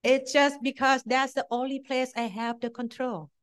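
A woman's voice: high voice, fairly even intonation, moderate pace. A middle-aged woman from Thailand with a Thai accent, giving a blunt, self-deprecating personal testimony at a meeting in short punchy phrases. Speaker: speaker at a support-group meeting.

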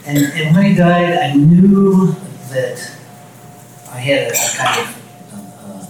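Middle-aged man, low voice, halting and soft-spoken personal share, dry self-deprecating asides; distant handheld mic in a very echoey meeting room. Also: animated, a little hiss or hum. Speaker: speaker at a recovery meeting. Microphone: handheld microphone.

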